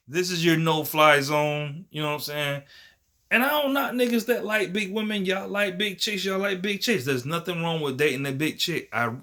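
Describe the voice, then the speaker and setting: A man's voice: fairly high pitch, bright, forceful tone. A man of thirty talking casually to the camera in a rambling, slangy and profane way, often dropping "you know what I'm saying.". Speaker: vlogger.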